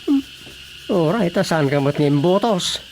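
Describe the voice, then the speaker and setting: A man's voice: high-pitched, animated, a little slow, darkish tone, a little hiss or hum, rough recording. An actor playing a nosy, teasing man in a radio comedy drama, with an Ilocano accent and a sly, questioning delivery. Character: nosy, teasing man needling a village councilor.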